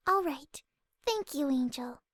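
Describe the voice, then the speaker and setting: A woman voicing a timid, gentle young female pegasus pony, soft-spoken, hesitant and polite, in a story reading. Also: sits high, lively intonation, takes it slowly, no room sound, clean studio sound, full character voice. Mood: apologetic, grateful. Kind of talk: fan audiobook character acting.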